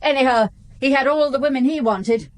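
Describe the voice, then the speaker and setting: An actress playing a guarded former servant questioned by the police in a radio drama, dry and evasive, then blunt. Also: female voice, sits high, a little fast, natural voice. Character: guarded, sharp-tongued woman, former servant witness. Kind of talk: 1950s-set radio drama acting.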